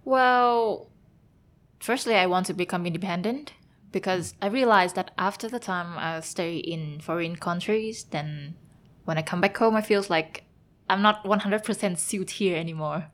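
A woman in her twenties from northern Vietnam, speaking English with a Vietnamese accent as a podcast guest, friendly and a little halting. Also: on the low side. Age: 20s. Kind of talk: casual interview chat.

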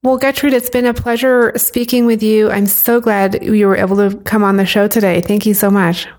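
A woman's voice: medium pitch, level tone, normal speed, a little mellow. A woman hosting a podcast, asking interview questions and reading announcements in an upbeat way. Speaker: podcast host. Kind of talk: interview and sponsor reads.

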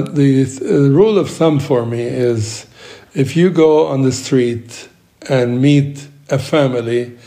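A man's voice: mid-range voice, warm tone. A man whose first language is Arabic, answering interview questions emphatically and acting out the exchanges he quotes. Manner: emphatic, laughs between points, acts out quoted exchanges. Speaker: interview guest, missions advocate.

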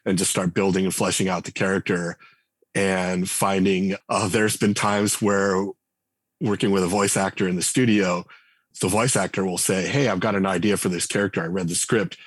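Interview guest, male, very low voice, loose and chatty, lots of "uh" and restarts. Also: moderate pace, slightly rough, dry recording. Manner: relaxed, thinks aloud with many fillers and restarts. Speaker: game writer and designer, guest. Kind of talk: casual interview conversation.

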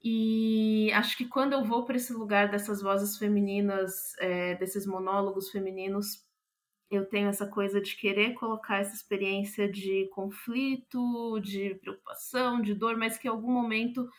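A woman's voice: medium pitch, flat delivery, moderate pace. A Brazilian woman speaking as an interviewed playwright, reflective and thoughtful, often searching for the right word.

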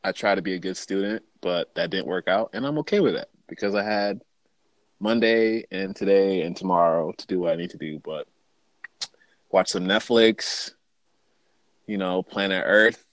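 A man's voice: low voice, moderate pace, no room sound. A young man hosting a casual podcast, laid-back and joking, with slangy, easygoing talk. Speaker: podcast host.

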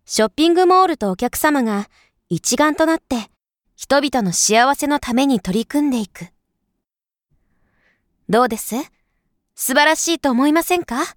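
A woman acting an overly polite, persuasive female shop clerk in a voice drama, speaking in smooth honorific sales talk. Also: high voice, no room sound, clean studio sound. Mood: pleased, obliging.

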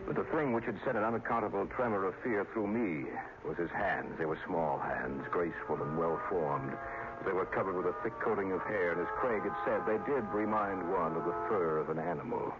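Deep-voiced, grave radio drama narrator. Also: male voice, lively intonation, heavy background noise. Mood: grave, ominous. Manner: measured, grave storytelling. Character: small-town newspaper owner, sober, uneasy narrator. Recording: muffled old radio recording under loud music.

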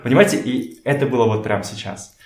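A man talking casually as a podcast guest, telling stories in a halting way as he searches for words. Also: mid-range voice. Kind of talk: casual conversational storytelling. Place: indoors in a home. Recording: echoey room.

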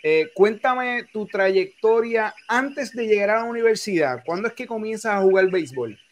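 High-voiced man hosting. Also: animated, moderate pace. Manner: chatty, fillers, stammers, laughs often. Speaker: podcast host. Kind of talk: casual interview.

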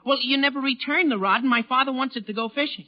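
An actor playing an earnest, whiny teenage son with gee-whiz boyishness in a 1940s radio comedy. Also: male voice, extremely high pitch, fast. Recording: dull lo-fi 1940s radio broadcast recording.

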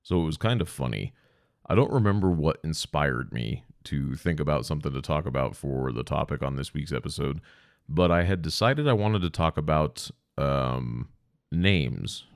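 A man's voice: a bass voice, lively intonation, normal speed, dry recording, clean studio sound. A congested, sniffly man hosting his own podcast, rambling casually and swearing freely. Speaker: podcast host. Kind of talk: rambling solo podcast chat.

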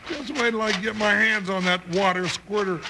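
Radio western casting: comic blustery sidekick, high gravelly voice, folksy drawl, noisy vintage recording. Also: male voice, normal speed. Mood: excited, blustery. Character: folksy, excitable, good-natured male western sidekick. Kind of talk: old-time radio western acting.

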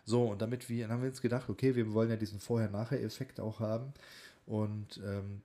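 Man, fairly low voice, casual podcast co-host, informal banter. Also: warm tone. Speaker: student in a speech training class. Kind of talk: casual podcast chat with tongue-twister game.

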